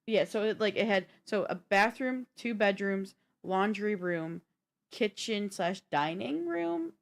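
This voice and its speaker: A woman's voice: mid-range voice, lively intonation, a little slow, creaky. A woman co-hosting a live stream in casual, unscripted chat, often thinking aloud and peppering her talk with "like". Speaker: podcast and live stream co-host.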